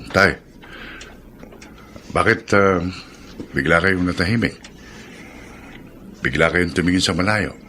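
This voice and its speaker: A man playing an earnest middle-aged father and dutiful son, speaking in a measured way in a Filipino audio drama. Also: low voice, a bit bright, a little hiss or hum. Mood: gentle concern. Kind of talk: Filipino radio-style drama acting. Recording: music under the voice.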